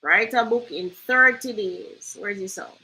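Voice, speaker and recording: A woman's voice: medium pitch, unhurried, sharp tone, low-quality recording. A Jamaican woman hosting a casual screen-share tutorial, chatty and loose, often asking "right?". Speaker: host.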